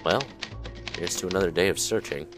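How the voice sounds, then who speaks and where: A low voice of a formal, downbeat 1950s newspaperman, acted by a man in a period radio drama. Music plays clearly behind him. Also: fairly quick, close to an everyday voice. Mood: reflective. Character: glum, polite 1950s American journalist turned novelist.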